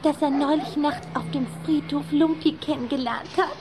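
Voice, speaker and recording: Little vampire girl played by a woman: high, unhurried, mischievous and fond, radio drama with clear background noise. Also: steady tone, close to an everyday voice. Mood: eager, gossipy. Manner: giggly, teasing, playful. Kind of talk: German radio play acting.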